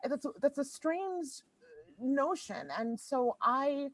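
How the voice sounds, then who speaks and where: A woman's voice: sits high, animated, takes it slowly, dry recording, rough recording. A woman opera singer talking candidly and frankly on a panel over a video call. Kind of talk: panel discussion.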